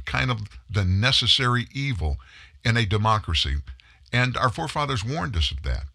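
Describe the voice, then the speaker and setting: A man's voice: on the low side, bright, forceful tone, no echo, clean studio sound. A middle-aged man hosting a political commentary show, telling a story with emphatic delivery. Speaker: host of a commentary news show. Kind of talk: scripted political commentary monologue.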